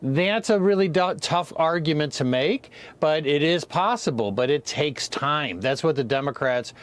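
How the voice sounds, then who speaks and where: A man giving measured political analysis as a news commentator, explaining step by step. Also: fairly high pitch, animated.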